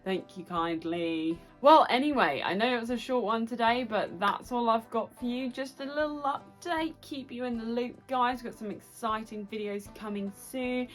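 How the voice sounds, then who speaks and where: A young British woman chatting casually and excitably to her viewers as a book vlogger. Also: a little high, normal speed, few pauses, faint music behind. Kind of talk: casual chatty vlog to camera.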